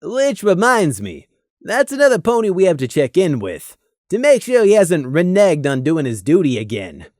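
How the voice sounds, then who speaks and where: Stern, dutiful winged stallion guard, played by a male narrator, clipped and commanding, animated pitch, fantasy story. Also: moderate pace, no echo, clean studio sound. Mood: scowling.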